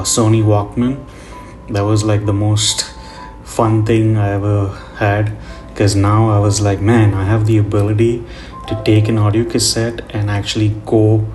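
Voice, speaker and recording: A middle-aged man giving a casual, rambling voiceover in a nostalgic, reflective manner, as host of a drawing tutorial. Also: lowish voice. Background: guitar music.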